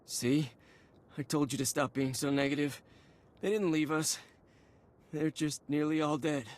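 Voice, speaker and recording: A man's voice: fairly high pitch, little pitch movement, unhurried, natural voice. An actor playing a wry, sarcastic male soldier in a video game, tossing out sardonic quips. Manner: sardonic, quippy. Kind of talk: scripted video game cutscene acting.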